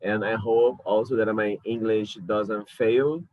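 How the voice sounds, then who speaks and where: Brazilian man, low voice, hesitant talk. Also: fairly even intonation, a little slow, dry recording, lo-fi sound. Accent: Brazilian Portuguese. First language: Portuguese. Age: 30s. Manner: thoughtful, self-correcting, frequent hesitations. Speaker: curator and lecturer. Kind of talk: recorded video talk. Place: alone in his apartment. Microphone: camera mic.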